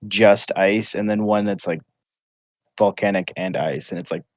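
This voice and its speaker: Man, fairly low voice, halting filler-heavy chat, dry close sound. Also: steady tone, no echo. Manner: halting, full of "like" and restarts. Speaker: livestream gamer. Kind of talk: casual chat.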